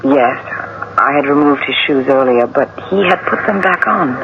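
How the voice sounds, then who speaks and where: Guarded wife, muffled old radio. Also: female voice, extremely low pitch, expressive. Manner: measured, hesitant answers. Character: guarded, defensive wife of a suspected husband. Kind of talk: 1970s radio drama acting. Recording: noisy, muffled vintage radio recording.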